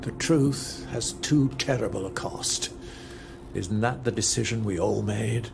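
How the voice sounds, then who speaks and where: An actor playing a cynical, condescending army officer in a dramatic video game. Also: male voice, fairly low pitch, a little slow, gravelly, slight background noise. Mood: resigned, mocking. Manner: sardonic, condescending. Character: cynical, commanding male army officer, dismissive and sardonic.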